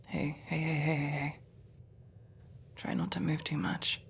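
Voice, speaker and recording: A woman playing a prickly but protective masked elf in a fantasy audio roleplay, sharp-tongued and intense. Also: sits low, level tone, unhurried, vocal fry, slight background noise. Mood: relieved, scolding. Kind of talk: dramatic ASMR fantasy roleplay acting.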